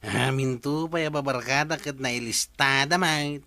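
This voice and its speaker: A man's voice: medium pitch, measured pace, pushed and bright, dry recording. A man playing a chatty, teasing villager in an Ilocano comedy radio drama. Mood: bantering.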